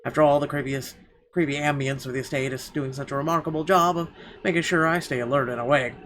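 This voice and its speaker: A man's voice: a little high, steady tone, quick pace, a bit bright. A man reading a visual novel's first-person narration aloud as a let's player, with dramatized muttered asides. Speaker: video game let's player. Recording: clearly noisy background.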